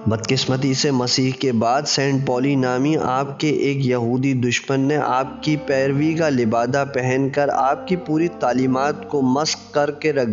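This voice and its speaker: A man reading a religious novel as scripted narration, with a formal literary delivery. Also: mid-range voice. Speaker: audiobook narrator. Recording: dull lo-fi recording with music behind. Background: background music.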